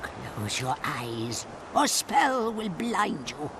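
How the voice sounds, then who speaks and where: A woman playing a greedy, scheming old witch hag in a video game, wheedling in broken third-person speech with a creaky, croaking voice. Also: sits low, very animated, extremely slow, background noise, heavily acted. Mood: urging, bossy. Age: older. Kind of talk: theatrical video game character acting.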